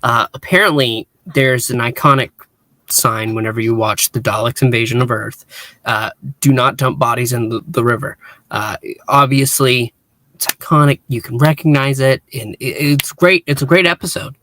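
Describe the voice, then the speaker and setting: A man's voice: medium pitch, moderate pace, bright, forceful tone, no echo. A man co-hosting a podcast, talking casually about fan news with wry asides. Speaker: podcast co-host.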